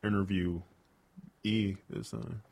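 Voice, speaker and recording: A man's voice: on the low side, fairly even intonation, slow pace, vocal fry, a little mellow. A young man, a stand-up comic and radio host, chatting casually in an interview and rambling with lots of fillers like "like" and "you know".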